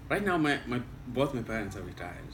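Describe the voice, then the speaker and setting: A man's voice: mid-range voice, big pitch swings, brisk. A man from Kenya with a Kenyan accent, whose first language is Luo, patiently answering interview questions about his home country. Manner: patient, explaining step by step. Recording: echoey room, mic at a distance, noisy.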